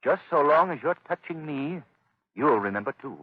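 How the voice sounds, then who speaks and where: An actor playing a calm, commanding gentleman crime-fighter in 1930s radio drama style, speaking gravely and deliberately. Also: a little high, no echo. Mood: grave, explaining. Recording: muffled 1930s radio broadcast recording.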